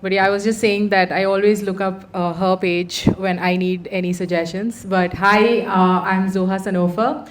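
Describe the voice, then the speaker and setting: A woman's voice: medium pitch, steady tone, moderate pace, few pauses. A young woman casually introducing herself on a panel.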